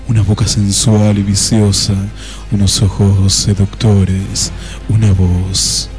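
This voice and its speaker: A man's voice: fairly low pitch, flat delivery, slow pace. A man narrating a comic radio play in a theatrical manner. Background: music.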